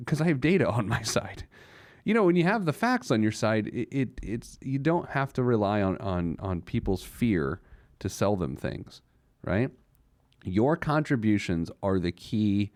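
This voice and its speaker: A man's voice: mid-range voice, a little mellow, no echo, studio quality. A man hosting a personal finance show in an informal, opinionated way, emphatic, repeating phrases for stress and stopping and restarting between rhetorical questions. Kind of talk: informal opinionated radio talk on money.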